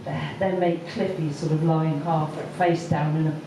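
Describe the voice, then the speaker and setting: A woman doing stand-up, telling a story slowly with many ums and pauses. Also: deep voice, fairly even intonation, background noise. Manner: hesitant, lots of ums, slow deliberate delivery. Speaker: stand-up comedian. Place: comedy venue with audience laughter. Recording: echoey far-off live room recording.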